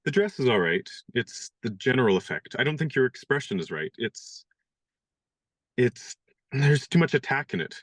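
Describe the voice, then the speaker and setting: An actor in a radio play playing a young gentleman disguised as a valet, speaking in a dry, good-humored, polite way. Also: mid-range voice, very animated, normal speed, dry recording. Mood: wry.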